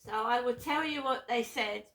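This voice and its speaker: A middle-aged woman with a sore throat, talking casually to camera in a rambling, halting way full of ums and restarts. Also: fairly high pitch, a bit bright. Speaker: vlogger.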